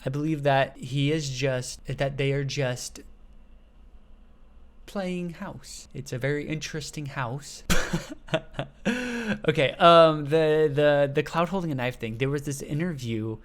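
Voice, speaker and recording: A man hosting a casual reaction video, chatty and excitable, often breaking into laughs. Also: fairly high pitch, a little slow.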